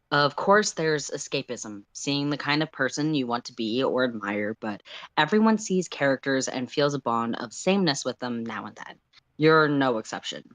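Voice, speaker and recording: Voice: medium pitch, a bit bright, dry recording. Dry-miked storyteller and streamer. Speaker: livestream co-host and story reader. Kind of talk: casual chat and fan fiction read aloud.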